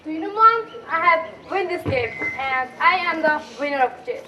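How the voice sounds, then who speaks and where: An eager, admiring teenage daughter acted by a young woman in an amateur stage play. Her voice is extremely high and reaches the microphone from some distance. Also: lively intonation, normal speed, noisy background. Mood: excited. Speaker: student actor in a school play. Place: school stage, recorded from a distance. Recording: distant school stage recording.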